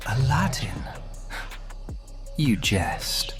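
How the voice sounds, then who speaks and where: A man playing an imperious, cold, centuries-old vampire lord in a fantasy audio roleplay, with a breathy, whispery, menacing drawl. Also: mid-range voice, very animated, extremely slow, music in the background. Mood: cool disbelief.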